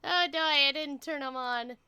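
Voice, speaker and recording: Female game streamer: casual, excitable chat, high-pitched, very bright, animated delivery. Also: unhurried. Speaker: live streamer. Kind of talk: casual gaming livestream chatter. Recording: faint game music behind. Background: game music.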